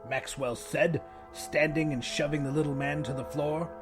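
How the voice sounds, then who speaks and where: A man's voice: a little high, fairly even intonation, normal speed, music in the background. A man narrating first-person fiction as a dramatic audiobook reader.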